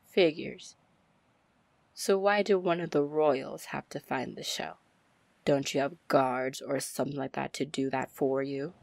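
A woman playing a confident, commanding, flirty female pirate captain in an ASMR fantasy roleplay, breathy and deliberate. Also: fairly even intonation, darkish tone, no room sound, exaggerated voice. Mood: wry, probing. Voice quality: breathy, low, husky. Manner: slow, deliberate, smooth-talking. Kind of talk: ASMR roleplay acting, fantasy audio drama.

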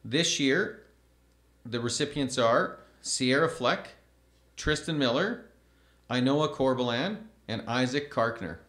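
A man's voice: medium pitch, animated, a bit bright, echoey room. Formal man announcing awards at a podium. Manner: measured, formal, reads names slowly. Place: school event stage at a podium. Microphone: podium microphone.

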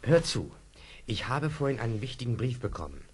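Actor as a secretive, animated schemer. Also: male voice, medium pitch, brisk, natural voice. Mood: confiding. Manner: conspiratorial, urgent, persuasive. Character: secretive scheming man confiding in boys, smoothly persuasive. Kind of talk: German children's radio play acting. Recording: noisy old audio drama recording.

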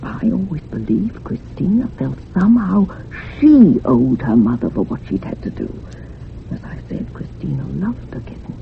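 An older country housekeeper in a radio play, superstitious and full of sorrow. Her speech is rustic and swings in pitch. It comes through a narrow, telephone-like recording with some noise. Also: creaky. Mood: sorrowful, confiding. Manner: rustic old-fashioned turns of phrase, confiding. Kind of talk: old-time radio drama acting. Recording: muffled phone-like radio recording with hum.

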